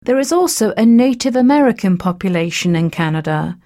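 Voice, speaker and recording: A woman narrating an educational piece, reading facts in a measured, list-like way. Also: mid-range voice, expressive, warm tone.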